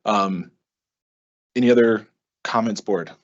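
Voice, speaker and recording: Low-voiced man chairing a meeting. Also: slow pace, highs cut off. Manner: earnest, appreciative, with frequent ums. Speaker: board president chairing the meeting. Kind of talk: formal opening remarks at a board meeting.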